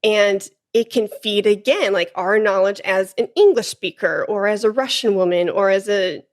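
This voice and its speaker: A woman hosting a podcast interview, chatting casually with quick enthusiastic reactions. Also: mid-range voice. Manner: enthusiastic, warm affirmations. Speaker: podcast host.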